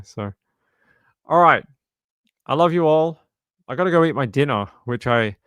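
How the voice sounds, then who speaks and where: Australian guy, about forty, streamer, casual rambling chat, mid pitch, dry close mic. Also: a bit bright, clean tone, no room sound. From Sydney, Australia. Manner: rambling, self-correcting storyteller. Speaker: true crime streamer.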